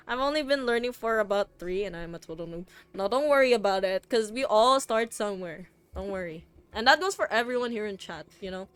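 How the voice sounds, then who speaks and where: Chatty young Filipina streamer. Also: female voice, mid-range voice. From Philippines. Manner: chatty and rambling, with frequent fillers, laughs and restarts. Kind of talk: casual stream chat.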